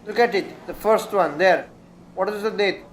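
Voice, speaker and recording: A man's voice: high-pitched, normal speed, a little hiss or hum, close to an everyday voice. Young man playing a stern, probing lawyer. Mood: pressing. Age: teenager. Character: stern, probing male lawyer coaching his client. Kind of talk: stage-style radio drama acting.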